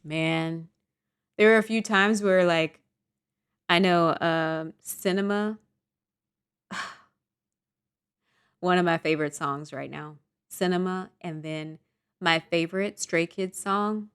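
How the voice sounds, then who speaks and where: A young woman vlogger rambling softly and self-deprecatingly through a casual storytime monologue. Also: on the low side, takes it slowly, long pauses, clear, resonant tone.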